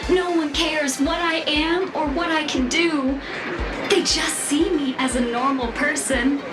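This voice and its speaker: A woman voicing a gentle female robot who speaks softly and earnestly in a video game. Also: sits high, fairly even intonation, a little slow. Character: gentle female robot, a factory-made machine. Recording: game audio, distant, with music.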